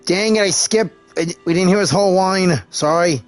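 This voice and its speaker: A man streaming a video game live, loudly reading out dialogue choices and reacting. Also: high-pitched, moderate pace, faint music behind. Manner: loud, reading choices aloud to chat. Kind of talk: live gameplay commentary.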